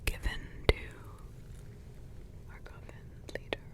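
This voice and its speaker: A woman voicing an old forest witch, a grumbling yet doting mother and grandmother, in rambling, halting whispers full of fillers. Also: natural voice. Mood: hushed musing. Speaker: ASMR roleplay creator. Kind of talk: ASMR roleplay whispering.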